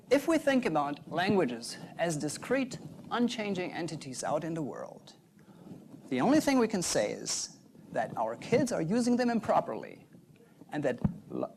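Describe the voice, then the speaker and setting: A young adult man, a native German speaker, giving an earnest prepared talk in measured phrases. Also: on the high side, creaky, a little mellow, slight background noise. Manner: earnest, measured, pauses between short phrases. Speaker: doctoral researcher giving a talk.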